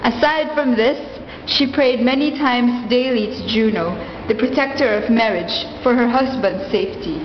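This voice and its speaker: A woman's voice: on the high side, moderate pace, few pauses, highs cut off, a little hiss or hum. A woman narrating a scripted radio play as a storyteller, in a formal, literary, dramatic manner. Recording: echoey hall reverb, clear music behind.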